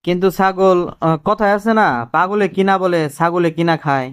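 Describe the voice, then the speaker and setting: A man voicing a cheeky, quick-witted cartoon job candidate who explains things deferentially in colloquial Dhaka-accented Bangladeshi Bengali. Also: high voice, steady tone, clean tone, natural voice. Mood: confidently explaining. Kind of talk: comic cartoon dialogue.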